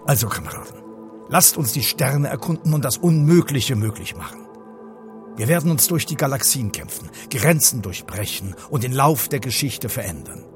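An actor playing a pompous, macho spaceship commander in a German radio play, with grandiose, declamatory delivery. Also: male voice, mid-range voice, close to an everyday voice. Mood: rousing.

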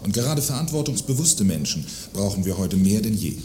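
A man's voice: lowish voice, very animated, brisk. A man acting a self-righteous, pompous arms manufacturer in a satirical radio play, speaking formally and indignantly. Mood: pompous indignation. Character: self-righteous, award-winning male arms manufacturer and husband. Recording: roomy sound with faint noise.

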